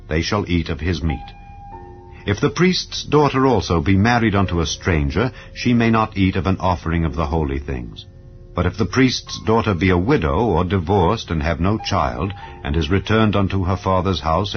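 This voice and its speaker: A man giving a solemn, measured, dramatized scripture reading. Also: low-pitched. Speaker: scripture reader. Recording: lo-fi with soft background music.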